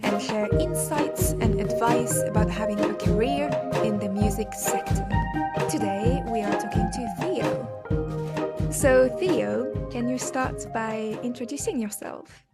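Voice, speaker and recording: A woman's voice: fairly high pitch, takes it slowly. A woman hosting a podcast, reading a scripted intro and asking friendly interview questions. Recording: intro over loud music.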